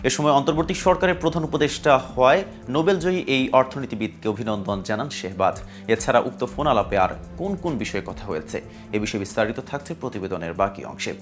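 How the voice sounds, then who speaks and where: A man with a Bangladeshi accent presenting scripted news in a formal, measured newsreader delivery. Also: mid-range voice, expressive. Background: music bed.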